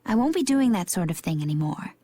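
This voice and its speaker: A woman's voice: lowish voice, big pitch swings, fast talker, dark tone. A woman voicing a cool, enigmatic young warrior woman in a video game, composed and understated. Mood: calm, guarded.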